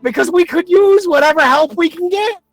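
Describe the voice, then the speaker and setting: A man's voice: extremely high pitch, moderate pace, a bit bright, no echo, soft background music. A man talking casually as a tabletop game player, reasoning aloud in a conversational way. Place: online group voice call, livestreamed game.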